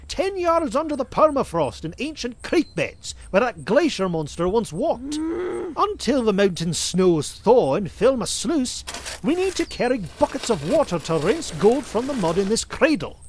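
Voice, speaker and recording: A man acting a gruff, stubborn, hot-tempered gold prospector in a fan-made comic dub. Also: high voice, heavily acted.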